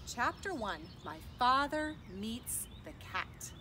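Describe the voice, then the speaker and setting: A woman reading aloud to children in an expressive storytime style, addressing young listeners. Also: high voice, takes it slowly, a little hiss or hum. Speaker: storytime reader. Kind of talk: animated children's read-aloud narration.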